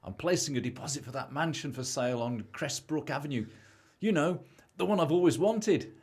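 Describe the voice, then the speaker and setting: A young British man playing a selfish, excitable married debtor who thinks he's rich, in an amateur radio play. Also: on the high side, fairly quick, husky. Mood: offhand.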